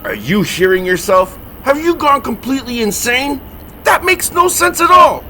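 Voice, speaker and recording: An actor playing an exasperated, sarcastic monster-hunting sidekick in a comedy horror audio drama, full of indignation. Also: male voice, very high voice, sing-song, normal speed, pushed and bright, slight background noise, exaggerated voice.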